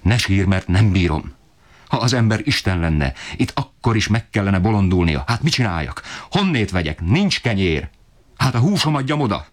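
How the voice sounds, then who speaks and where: A man's voice: sits low, voice pushed up, natural voice. A man voicing a desperate, enraged poor father who bellows in fury. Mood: furious shouting. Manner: bellowing, furious outbursts.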